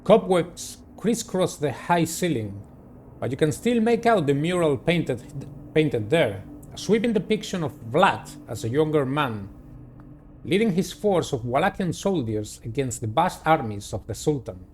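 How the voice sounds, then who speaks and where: A man hosting a game playthrough, reading the story aloud in a dramatic, deliberate way and adding his own commentary. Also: a little high, sing-song, darkish tone, a little hiss or hum. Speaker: gaming video host. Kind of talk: reading visual novel narration aloud with personal commentary.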